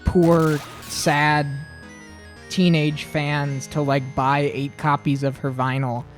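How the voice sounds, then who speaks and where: A man with a high voice chatting casually on a livestream, blunt and opinionated, swearing freely. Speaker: livestream co-host. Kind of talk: casual chat on a gaming stream.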